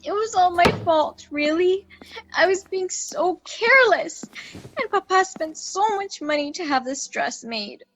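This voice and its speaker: An actress playing a sweet, distressed, contrite young maiden in a radio drama. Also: female voice, very high voice, animated, a little slow, fairly bright tone, big-room sound, exaggerated voice. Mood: contrite, upset.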